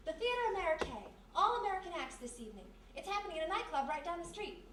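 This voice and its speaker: A woman playing a perky, quick-thinking detective's sidekick with a coaxing manner, in old-time radio mystery style. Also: very high voice, sing-song, fairly bright tone, heavily acted. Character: quick-thinking young female detective's sidekick, former vaudevillian. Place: live performance in a roomy historic house. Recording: echoey live stage, distant mic, hiss.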